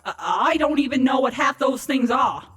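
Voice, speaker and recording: A woman's voice: high-pitched, moderate pace, fairly bright tone, faint music behind. A woman voicing an indignant, sarcastic young female changeling in a dramatised story reading. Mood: flustered. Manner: sarcastic, exasperated. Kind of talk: dramatised fan fiction reading.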